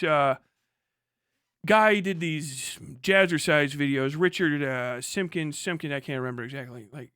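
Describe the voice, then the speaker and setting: A man's voice: sits high, sharp tone, no room sound, clean studio sound. A man doing casual, joking reaction commentary as a live streamer, sarcastic and swearing freely.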